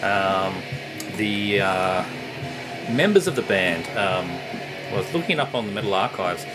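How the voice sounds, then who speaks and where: A man's voice: lowish voice, lively intonation, measured pace, over music, low-quality recording. A man giving a casual, unscripted review in an enthusiastic, rambling way. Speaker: music reviewer presenting a video.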